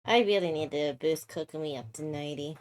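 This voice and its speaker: A woman streaming a video game, commenting casually and thinking aloud in a relaxed way. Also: low voice, a little fast. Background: game music.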